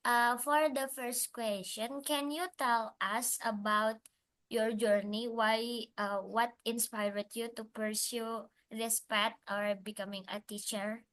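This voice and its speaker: A woman's voice: a little high, fairly even intonation, fairly bright tone. Hesitant young woman student interviewer. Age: young adult. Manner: hesitant, halting questions with fillers. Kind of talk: interview questions.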